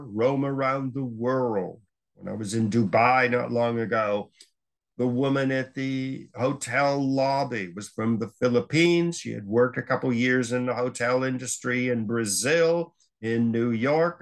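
An older man giving a library slide lecture on world affairs, speaking in an unhurried, emphatic way with long pauses. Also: medium pitch, a bit bright.

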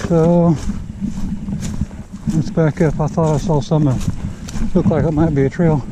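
A man's voice: on the high side, steady tone, a little slow, very noisy. Easygoing man narrating a forest hike. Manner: easygoing, talking to camera while walking. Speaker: hiker vlogger. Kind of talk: casual hiking narration. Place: outdoors in a forest trail. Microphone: action camera carried while hiking.

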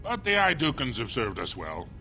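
A man voicing a polite, salesmanlike dwarf armorer in a video game, with measured patter. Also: mid-range voice, huge pitch swings, bright, forceful tone, soft background music. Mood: cautious, polite. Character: salesmanlike, cautious male dwarf armorer and merchant. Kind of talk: video game dialogue acting.

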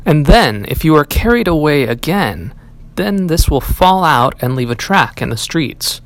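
A man reads as a stern fairy-tale king. He speaks with authority and cunning, his pitch moving in a lively way. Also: unhurried. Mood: scheming. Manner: authoritative, advising. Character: stern, scheming old-tale king, father of princess.

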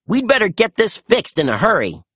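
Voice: mid-range voice, huge pitch swings, normal speed, a bit bright, breathy edge, dry recording, clean studio sound, pitched above the performer's own voice, full character voice. A storybook narrator voicing a confident boy team leader who gives earnest, reassuring commands. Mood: urgent.

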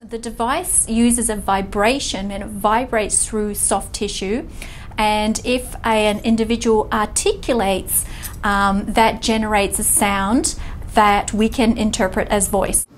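A woman's voice: medium pitch, steady tone, measured pace, a little hiss or hum. Woman researcher explaining in an interview. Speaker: medical researcher. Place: small indoor room, seated interview.

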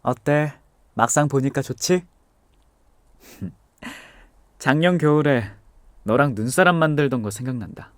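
A man playing a soft-spoken young boyfriend. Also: medium pitch, unhurried, long pauses, dry recording, natural voice. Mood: gentle, caring. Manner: soft-spoken, hushed and tender. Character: gentle devoted young boyfriend, anxious. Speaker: voice actor. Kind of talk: ASMR audio drama.

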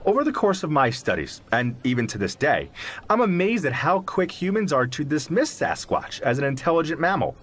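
Articulate zoologist, slightly high voice, lively, explanatory, self-assured, audio drama interview. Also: male voice, a little fast, breathy edge, full character voice. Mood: earnest, lecturing. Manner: explanatory, professorial, emphatic. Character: articulate, self-assured male zoologist who believes in Sasquatch. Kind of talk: audio drama interview, scripted but conversational.

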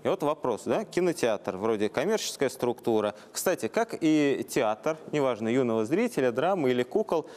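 Mid-pitched man co-hosting a discussion. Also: big pitch swings, moderate pace, few pauses, darkish tone. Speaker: talk show co-host. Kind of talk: conversational presenting, unscripted. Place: TV studio, standing before audience.

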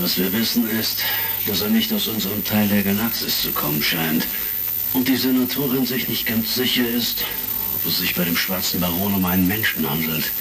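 Male actor as authoritative male spy chief, low pitch, measured, sci-fi radio play, noisy vintage recording. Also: natural voice. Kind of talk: retro sci-fi audio drama acting. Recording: crackly vintage record, slightly distant.

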